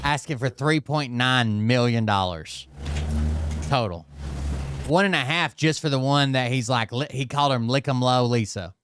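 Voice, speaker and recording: A man's voice: medium pitch, moderate pace, background noise. A man giving casual, blunt and profane commentary during a live stream.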